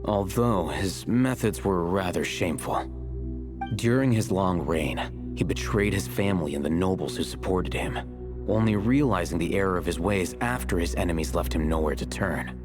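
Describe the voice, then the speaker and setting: A man playing a composed, learned scholar in a video game, speaking in a measured, matter-of-fact, lecturing way. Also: sits low, music in the background, lightly acted. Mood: composed, aloof. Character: composed, learned male scholar, aloof and unhurried. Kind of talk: video game character dialogue.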